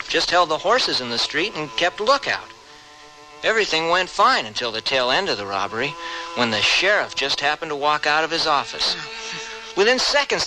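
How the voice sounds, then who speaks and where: A man's voice: on the high side, pushed and bright. A man playing a regretful, folksy young ex-convict cowboy in an old-time radio western. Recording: slightly noisy radio drama recording.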